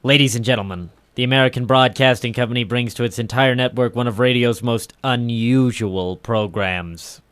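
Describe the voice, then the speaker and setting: A man working as a network announcer, formal and deliberate in the style of 1940s radio. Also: medium pitch, fairly bright tone, clean tone. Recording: 1940s radio broadcast recording.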